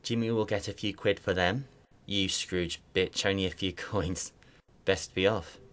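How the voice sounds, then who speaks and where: An actor playing a loathsome, gloating street thief in a radio play, muttering and sneering to himself. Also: male voice, low voice, fairly even intonation, measured pace, a bit bright. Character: loathsome street thief robbing a dead woman.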